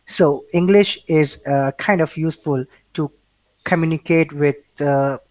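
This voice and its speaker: A man's voice: a little high, unhurried, a little mellow, no echo. A man from Pakistan with a Pakistani accent, a native Urdu speaker, answering interview questions in a hesitant way with many restarts and fillers. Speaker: interview guest. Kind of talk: casual remote interview.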